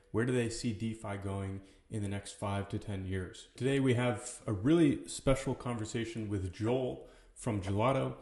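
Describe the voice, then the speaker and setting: A man's voice: medium pitch, a little mellow. A man hosting a podcast, speaking with casual, slangy enthusiasm in both introductions and conversation. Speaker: podcast host and company co-founder. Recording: roomy, echoey voice recording.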